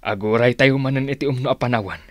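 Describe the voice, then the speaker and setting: A man acting a cautious, fatherly uncle in a radio drama, giving measured, admonishing advice. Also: medium pitch, close to an everyday voice. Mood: wise, warning. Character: cautious, fatherly older uncle advising younger men.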